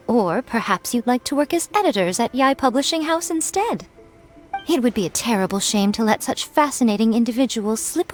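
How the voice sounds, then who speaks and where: A woman voicing a sly, teasing, elegant head of a shrine in a video game, playful and coy. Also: fairly high pitch.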